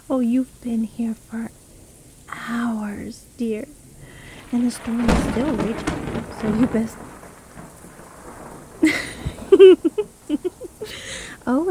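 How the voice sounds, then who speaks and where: A woman playing a sly, teasing witch storyteller in a fantasy audio roleplay, speaking in a soft, breathy, theatrical and condescending way. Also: a little high, heavily acted, rough recording. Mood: taunting, amused. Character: sly, gloating witch storyteller toying with her trapped victim.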